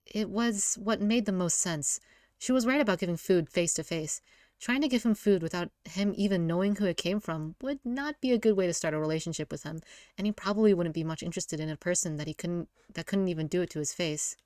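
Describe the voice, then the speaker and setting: A woman's voice: fairly low pitch, brisk, a little mellow, no room sound, clean studio sound. A woman reading a story aloud as casual narration, with an informal, wry delivery. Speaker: story reader.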